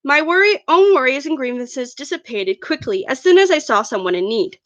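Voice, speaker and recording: A woman's voice: high voice, lively intonation, fairly quick, fairly bright tone, dry recording, lo-fi sound. A woman reading her own fan fiction aloud as first-person narration, an amateur who stumbles over words now and then.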